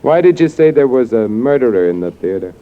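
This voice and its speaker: An actor playing a skeptical, dry, sardonic newspaper columnist in 1940s-style radio drama. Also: male voice, medium pitch, very animated, fairly quick, lightly acted. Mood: probing, skeptical. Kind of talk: 1940s radio drama first-person narration. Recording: muffled vintage radio, music behind.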